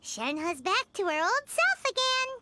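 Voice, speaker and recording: A woman voicing a tiny, excitable female companion in a game, with a very high, squeaky voice who talks about herself by name. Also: unhurried, very noisy, heavily acted. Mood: worried. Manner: bubbly, refers to herself by name. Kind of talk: game voice acting.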